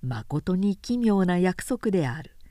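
A woman narrator giving a measured, expressive professional reading of a period story. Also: fairly low pitch, dry recording, studio quality.

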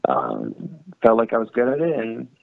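A man's voice: medium pitch, huge pitch swings, normal speed, creaky, no echo, narrow, tinny audio. A young man from France and California who plays professional soccer as a goalkeeper, answering interview questions in a thoughtful, easygoing, casual way. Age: young adult.

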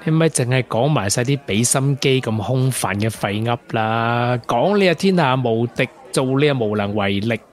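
Sardonic Hong Kong Cantonese man actor, medium pitch. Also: expressive, normal speed, few pauses, natural voice. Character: sardonic man with hesitant romance woes. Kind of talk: radio drama acting, bar banter.

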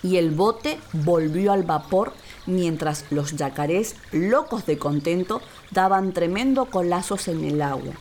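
A woman narrating a children's story in an expressive, deliberate, theatrical way. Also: on the low side, a little hiss or hum. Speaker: actress, storyteller.

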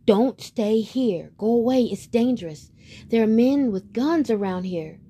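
A woman's voice: fairly high pitch, lively intonation, a little slow, dark tone, slight background noise. A woman voicing a pair of large geese in a storybook, giving an urgent warning.

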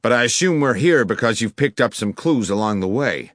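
A man voicing a smooth, wealthy gentleman with a polished, wary manner. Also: mid-range voice, expressive, fairly quick, no echo, studio quality, pitched above the performer's own voice, natural voice.

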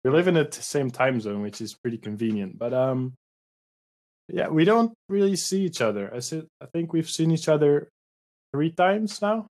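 A man's voice: a little high, lively intonation, normal speed, darkish tone. A young man from the Netherlands, a musician guest on a remote call, joking and laid-back. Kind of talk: casual interview chat.

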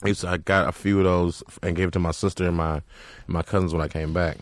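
A man hosting a casual comedy podcast, animated and joking, swearing freely. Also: low voice, fast, no room sound, clean studio sound. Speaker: podcast host.

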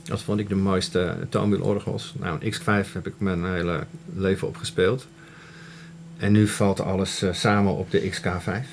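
Low-voiced man with background noise. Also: level tone, moderate pace, vocal fry. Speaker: musician, organist. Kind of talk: informal interview talk.

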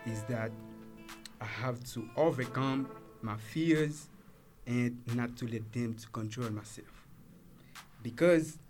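A young man from Haiti with a Haitian accent, a native Creole speaker, reading out his own story as an English learner in a measured, careful way. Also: medium pitch. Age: young adult. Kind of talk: reading a prepared personal story.

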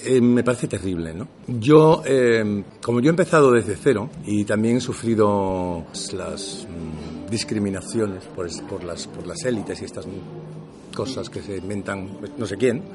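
Lively middle-aged Sevillian actor, lowish voice. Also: male voice, unhurried, over music. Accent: neutral Castilian Spanish for work, Andalusian at home. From Seville, Spain. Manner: animated storyteller, acts out quoted dialogue. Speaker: actor, interview guest. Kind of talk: casual interview talk.

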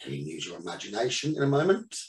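A man leading a guided meditation as the teacher, giving soothing instructions in short phrases with pauses between them. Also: on the low side, wildly swooping pitch. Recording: roomy echo, distant from the mic.

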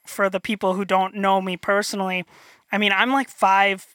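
High-voiced young man, dry sound. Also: flat delivery, normal speed, bright, forceful tone, no room sound. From North Dakota. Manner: rambling, self-deprecating, frequent restarts and chuckles. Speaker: illustrator guest. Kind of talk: casual interview chat.

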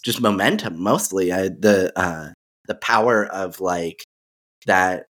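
A man's voice: low voice, takes it slowly, a bit bright. A man hosting a podcast, asking questions casually, with a hesitant manner and many uhs. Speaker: podcast host. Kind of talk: casual interview.

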